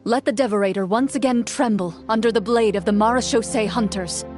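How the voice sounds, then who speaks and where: A woman voicing a composed, honourable monster hunter and duelist in a game, speaking in a formal, measured, solemn way. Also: on the high side, over music, close to an everyday voice. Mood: solemn narrating. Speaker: game voice actor. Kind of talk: scripted game drama and narration.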